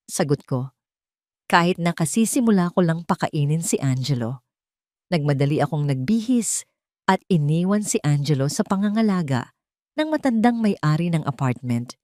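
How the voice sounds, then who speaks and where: A woman with a Filipino accent narrating a dramatic first-person story in an emotive, deliberate way. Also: deep voice, a little mellow, no room sound, studio quality.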